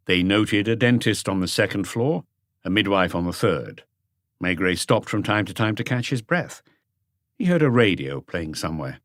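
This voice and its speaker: A man reading scripted literary narration as an audiobook narrator, with a measured storytelling delivery. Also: fairly low pitch, animated, dry recording, studio quality.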